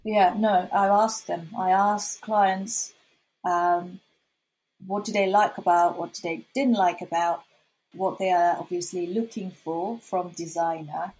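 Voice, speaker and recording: A woman from Korea with a Korean accent, whose first language is Korean, answering interview questions as a freelance designer, halting with many fillers and restarts. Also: on the low side, fairly even intonation, darkish tone, slightly rough, low-quality recording.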